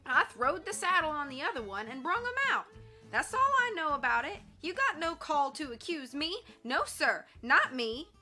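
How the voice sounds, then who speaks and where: A woman doing a loud drawling cowboy. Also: extremely high pitch, very animated, measured pace, few pauses, soft background music, voice pushed up, exaggerated voice. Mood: indignant. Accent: American Western drawl. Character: boastful red-haired cowboy ranch hand, defensive.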